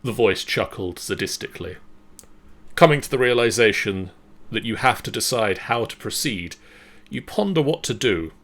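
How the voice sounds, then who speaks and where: A man serving as game master, narrating a story in a measured, dramatic way and then chatting casually. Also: on the low side, expressive, a bit bright.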